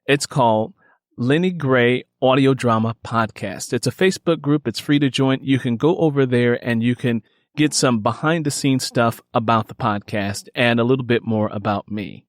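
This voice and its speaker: A man's voice: medium pitch, moderate pace, dry recording, clean studio sound. A man speaking as an author and podcast host, addressing his listeners directly in an earnest way. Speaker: author, creator and narrator of an audio drama podcast.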